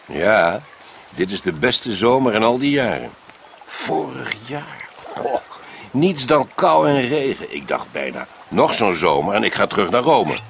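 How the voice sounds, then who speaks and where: Pompous, creaky-voiced Roman prefect. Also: male voice, mid-range voice, expressive, fairly bright tone. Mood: pleased, musing. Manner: pompous, musing, drawn-out. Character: self-satisfied, grumbling Roman prefect, master of a villa. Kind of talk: radio drama acting.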